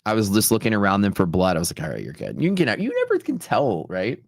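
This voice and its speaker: A man with a deep voice chats casually as a livestream host. The sound is dry and close, and his delivery is loose, slangy and rambling, like off-the-cuff storytelling. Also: wildly swooping pitch, fast, no room sound. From Texas, United States. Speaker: livestream host, futures trader.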